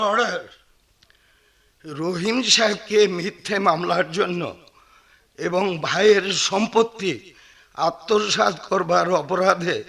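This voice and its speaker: Gravelly, high-pitched stern judge. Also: male voice, bright, forceful tone, heavily acted. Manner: measured, commanding, formal. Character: stern, authoritative judge delivering a sentence. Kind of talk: radio drama acting.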